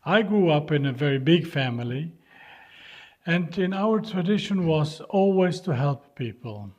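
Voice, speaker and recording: A man's voice: on the high side, animated, measured pace. A man from North Macedonia, answering interview questions earnestly and searching for English words. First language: Albanian. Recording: dull lo-fi sound.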